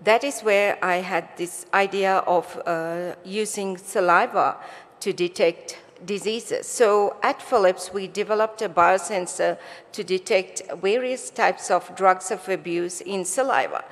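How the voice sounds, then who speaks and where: A middle-aged woman scientist presents to an audience in a big, reverberant hall. Her voice sits at a medium pitch, and she explains step by step, posing rhetorical questions. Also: unhurried. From Botswana, born in Sri Lanka. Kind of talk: conference talk to an audience. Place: conference stage in a large hall.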